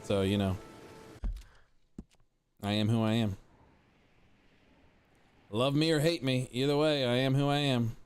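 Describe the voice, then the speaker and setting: A man's voice: mid-range voice, measured pace, pauses often, clear, resonant tone, faint music behind. A forty-year-old man chatting casually with viewers while streaming a game, rambling and repeating himself. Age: 40s. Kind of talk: casual live-stream chat over gameplay.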